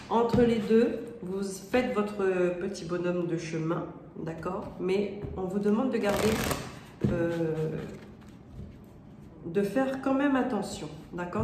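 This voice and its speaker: A woman with a cold and a stuffy nose, giving a casual, hesitant tarot reading full of fillers, often checking "d'accord?". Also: mid-range voice. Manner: hesitant, many fillers and rephrasings. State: has a cold. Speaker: tarot card reader. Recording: roomy echo with faint room noise.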